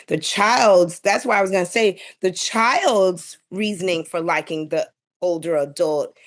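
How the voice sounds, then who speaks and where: A woman's voice: fairly low pitch, unhurried. A woman in a casual panel discussion, giving opinions and explaining her thinking in an informal way. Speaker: podcast panelist.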